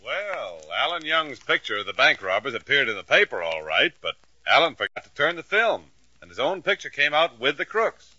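A man's voice: mid-range voice, sing-song, moderate pace. A man announcing and narrating a 1940s-50s radio comedy in scripted style. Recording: dull old radio recording. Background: music.

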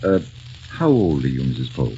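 A grave, fatherly doctor in vintage radio drama. His voice is very deep, hesitant and gentle, on a muffled recording with slight background noise. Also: very animated, moderate pace, heavily acted. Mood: grave, reluctant. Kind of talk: 1940s radio drama acting.

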